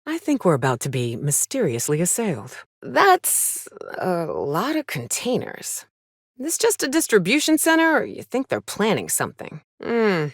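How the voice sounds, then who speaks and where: An actress playing a tough, wry, hard-drinking space trailblazer in a video game, with a sardonic, folksy and salty-tongued manner. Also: female voice, lowish voice, wildly swooping pitch, normal speed, no room sound, clean studio sound, heavily acted. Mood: wary. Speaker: companion character in a video game. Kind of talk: video game voice acting, short reactive lines.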